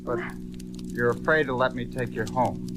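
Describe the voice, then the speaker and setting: A man's voice: mid-range voice, steady tone, moderate pace, natural voice, rough recording. A man playing a defiant, indignant young boyfriend in an old-time radio drama. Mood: frustrated, insistent. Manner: insistent, indignant.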